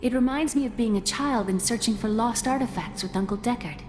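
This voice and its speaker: A woman's voice: fairly high pitch, a little fast, background noise, lightly acted. A young woman voicing an earnest, curious scholar with a dry wit. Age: young adult.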